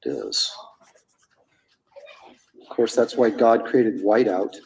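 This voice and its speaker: A man's voice: lowish voice, big pitch swings, slow pace, gravelly, a little mellow, recorded from a distance, rough recording. A man in his fifties, a comic artist chatting casually and rambling through anecdotes while he inks on a stream. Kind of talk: casual chat while drawing.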